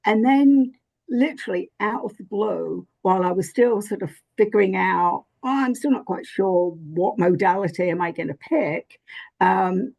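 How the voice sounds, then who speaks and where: A woman's voice: medium pitch, moderate pace, gravelly, a little mellow, poor audio. An older woman with an English accent, chatting as a podcast guest with lots of fillers and restarts. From England. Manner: rambling, many fillers and restarts. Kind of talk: casual interview chat.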